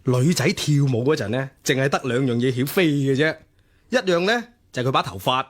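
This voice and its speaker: A man's voice: mid-range voice, brisk, natural voice. An actor playing a cynical, teasing young ladies' man in a radio drama, with a Hong Kong Cantonese accent. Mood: cynical, knowing.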